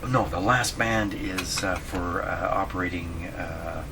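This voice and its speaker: Male demo host, low register, casual self-muttering delivery with stop-and-start phrasing, noisy recording. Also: fairly even intonation. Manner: muttering to himself while working, halting, repetitive. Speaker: host of a radio repair video. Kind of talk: casual hands-on demonstration commentary. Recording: noisy, with clear background noise. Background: ambient noise from radio being tested.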